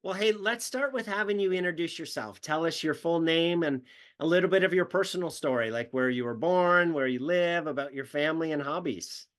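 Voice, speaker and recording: A man with a slightly high voice hosts a casual business interview. He is friendly and laughs easily, keeping the chat light between questions. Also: a little fast, fairly bright tone. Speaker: podcast host, business coach.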